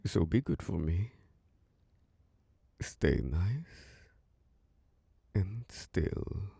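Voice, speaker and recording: A man voicing an obsessive, predatory male vampire lord in an ASMR roleplay. His voice is deep, gravelly and husky, and he speaks slowly in a hush that keeps slipping into whispers close to the microphone. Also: lively intonation, no echo, full character voice. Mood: threatening. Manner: slow, hushed, drifting into whispers.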